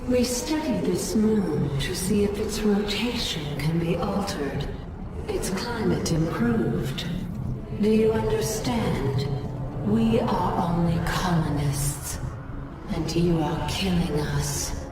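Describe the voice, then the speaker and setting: Captive alien researcher: proud, earnest, slow, heavily reverberant voice over loud music. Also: female voice, lowish voice. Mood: pleading. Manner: earnest, reproachful, formal. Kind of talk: dramatic video game acting. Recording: very echoing, distant, loud music behind.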